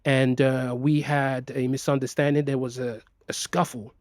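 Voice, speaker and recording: A middle-aged man who grew up in Nigeria talks through a personal story in a halting way, pausing on ums and restarting his sentences. His voice sits at an ordinary pitch. The close mic gives a dry, unreverberant sound. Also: little pitch movement, dry recording. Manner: hesitant, many fillers and restarts. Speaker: guest. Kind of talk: personal interview.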